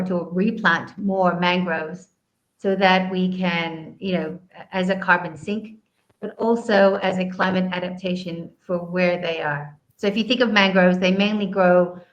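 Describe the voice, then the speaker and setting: Woman from southeast asia explaining stuff earnestly, lotsa ums, kinda muffled lo-fi sound. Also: lowish voice. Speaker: guest. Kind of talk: conversational interview answer.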